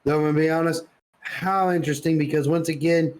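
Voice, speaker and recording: A man's voice: fairly high pitch, steady tone, fast talker, slight background noise. A man chatting casually and informally as a podcast co-host. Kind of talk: casual chat.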